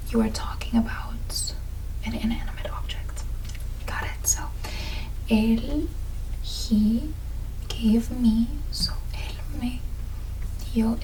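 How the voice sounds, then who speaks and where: A young woman from the United States whispering a slow, gentle beginner Spanish lesson for ASMR. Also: on the high side, distant mic, poor audio. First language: English. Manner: slow, soft whispering, drawn-out words. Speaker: language teacher.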